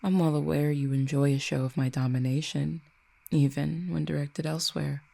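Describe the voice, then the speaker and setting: Casting: ancient cupid for audio roleplay, possessive and haughty, dark mellow tone with gravelly fry, deliberate teasing menace performer acting it out. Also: mid-range voice, steady tone. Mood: dominant, teasing. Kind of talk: scripted audio roleplay, acted monologue.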